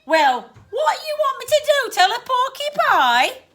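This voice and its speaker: Woman putting on a high, cheeky Cockney ghost boy. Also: big pitch swings, slow, bright, forceful tone, exaggerated voice. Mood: annoyed. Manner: blunt, slangy, mocking. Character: cheeky, impatient Cockney ghost boy, smug and rough-spoken. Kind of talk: children's storybook read aloud.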